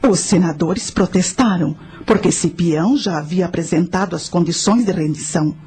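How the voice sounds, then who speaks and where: Actress as a measured, knowing guide in radio drama. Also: female voice, lowish voice, dark tone, husky, soft background music. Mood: instructive. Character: knowing guide narrating ancient battles to a companion, calm, instructive.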